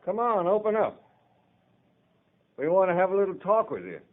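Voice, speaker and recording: An actor playing a wheedling, folksy horse thief in a 1950s Western radio drama. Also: male voice, high-pitched, very animated. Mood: falsely friendly. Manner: drawn-out folksy coaxing, ungrammatical. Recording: muffled, hissy 1950s radio recording.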